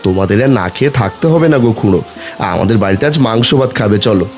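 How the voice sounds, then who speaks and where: A man's voice: on the low side, expressive, quick pace, soft background music. A man voicing a kindly village father, earnest and reassuring, in a children's fairy tale cartoon.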